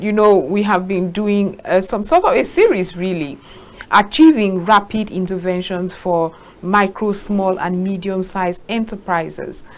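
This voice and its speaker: A woman hosting a talk show, introducing the topic and guest in a measured, formal way. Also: mid-range voice. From Nigeria.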